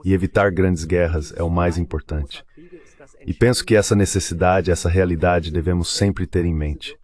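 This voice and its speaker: Deep-voiced male interpreter voice-over. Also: steady tone, normal speed, darkish tone. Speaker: voice-over interpreter for a panel guest. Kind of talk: translated voice-over of a panel discussion.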